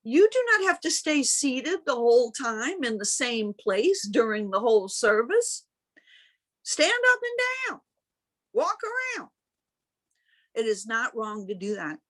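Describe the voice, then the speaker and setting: A woman minister giving a sermon in deliberate, emphatic phrases with pauses, speaking over a video call. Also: high-pitched, big pitch swings, a bit bright. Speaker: minister preaching.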